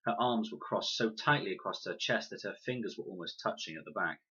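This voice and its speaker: A man reading a children's novel aloud in an expressive narration style. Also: lowish voice, brisk, breathy edge, dull audio. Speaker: audiobook narrator, reader.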